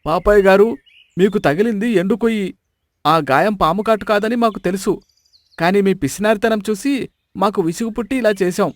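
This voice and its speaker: A man's voice: high voice, moderate pace, dry recording, clean studio sound, lightly acted. A man voicing a sly, mock-solemn villager in a dramatised storybook tale. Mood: frank.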